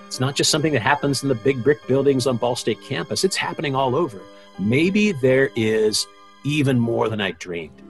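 A man's voice: mid-range voice, expressive, moderate pace, soft background music. An American man giving an earnest, enthusiastic, reflective interview recollection as a producer. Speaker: executive producer of radio dramas.

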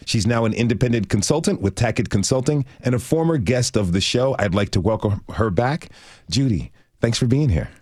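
A man's voice: medium pitch, expressive, brisk, darkish tone, dry recording, clean studio sound. A man hosting a public radio show, presenting and interviewing guests.

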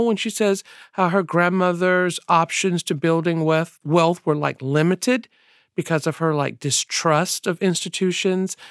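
A man's voice: fairly high pitch, fairly bright tone, clear, resonant tone, no room sound, clean studio sound. A man co-hosting a radio interview, talking conversationally with a few restarts and fillers. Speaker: radio co-host.

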